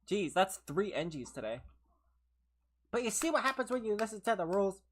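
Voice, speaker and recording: A woman streaming a game live from her own room, chatting with viewers, laughing often and teasing them, tired and losing her voice. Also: mid-range voice. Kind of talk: casual live gameplay commentary with chat.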